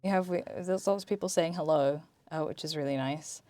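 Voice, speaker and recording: A woman's voice: deep voice, a little fast, warm tone, no echo, faint music behind. A woman hosting a livestream chat in an informal, slightly hesitant way. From South Africa. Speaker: Twitch streamer, host.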